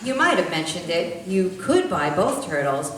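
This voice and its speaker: A woman's voice: fairly low pitch, sing-song, normal speed, a bit bright, slight background noise. A woman playing a shy, prim pet shop clerk in a live old-time radio comedy, politely correcting everyone. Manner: polite, patiently correcting others. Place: library meeting room, live show. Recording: very echoey, distant mic.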